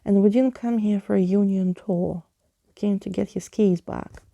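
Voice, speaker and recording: A woman's voice: mid-range voice, fairly even intonation, moderate pace, vocal fry, warm tone, dry recording, lo-fi sound, natural voice. A woman voicing a grumpy, impatient young girl in a storybook, snappy and scornful. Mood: irritated.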